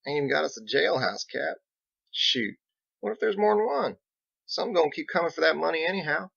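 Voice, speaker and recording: A man's voice: fairly high pitch, expressive, fairly quick, vocal fry, a bit bright, slightly rough, no echo, lo-fi sound, pitched above the performer's own voice, heavily acted. A man voicing a folksy, blunt young small-town sheriff with a drawl in a storybook reading. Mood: skeptical.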